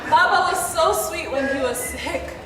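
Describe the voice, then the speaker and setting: A woman's voice: very high-pitched, a little slow, a crowd in the background. A young woman playing a concerned, incredulous grown daughter in a stage play. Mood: worried, incredulous. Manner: questioning, incredulous. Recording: distant, reverberant, noisy theatre recording.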